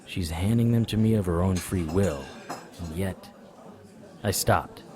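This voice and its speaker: A deep-voiced hard-bitten hunter. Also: male voice, measured pace, a crowd in the background. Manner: dry, wry noir delivery. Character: hard-bitten male monster hunter narrating. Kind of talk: noir first-person narration and dialogue.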